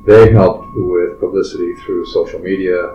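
A man in his sixties, a clinical social worker, answering interview questions in a steady, matter-of-fact way with many fillers. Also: low-pitched, moderate pace. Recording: roomy, distant, muffled recording with faint background noise.

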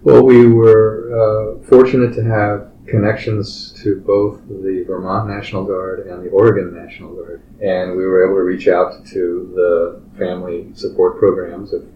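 A man in his sixties, a clinical social worker, answering interview questions in a steady, matter-of-fact way with many fillers. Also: sits low, moderate pace, few pauses. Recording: roomy, distant, muffled recording with faint background noise.

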